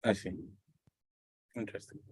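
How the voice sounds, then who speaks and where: A man casually moderating a webinar panel as host, halting and thinking aloud between questions. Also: no echo, rough recording.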